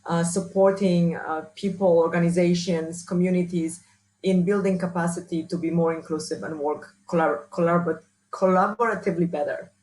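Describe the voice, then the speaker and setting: A woman with a strong Polish accent answering interview questions as a researcher and trainer, often restarting and filling pauses. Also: lowish voice, fairly even intonation, darkish tone, low-quality recording. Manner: hesitant, many restarts and fillers. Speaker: workshop speaker, researcher.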